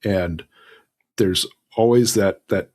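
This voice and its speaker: Casting: male podcast guest, low husky voice, thoughtful conversational delivery with stop-start phrasing. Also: slow, darkish tone. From Southern California, United States. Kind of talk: conversational interview advice.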